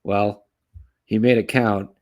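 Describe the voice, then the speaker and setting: Deep-voiced man talking hockey casually. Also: fairly even intonation, slow. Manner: chatty, tags remarks with "eh", chuckles at own asides. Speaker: sports podcast co-host and prospect analyst.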